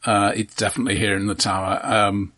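A man co-hosting a film podcast, casually explaining things in a halting, conversational way. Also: lowish voice, flat delivery, a bit bright.

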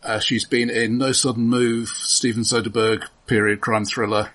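A man on a film podcast, chatting casually but analytically. His voice is husky and mid-pitched. He often stops and repeats a word before finishing his point. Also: level tone, fairly bright tone. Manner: analytical, halting with repeated words.

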